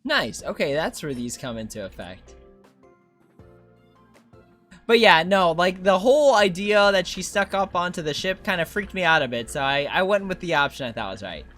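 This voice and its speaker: A woman's voice: on the low side, sing-song, a little fast, hardly any pauses, fairly bright tone, faint music behind. A woman hosting a gaming stream, commenting in a casual, informal, chatty way. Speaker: streamer, host.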